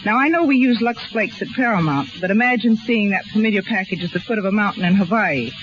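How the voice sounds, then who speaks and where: A woman's voice: mid-range voice, quick pace. Wisecracking woman, noisy vintage radio. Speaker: film script girl as radio guest. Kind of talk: scripted 1930s radio anecdote. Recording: noisy muffled 1930s radio recording.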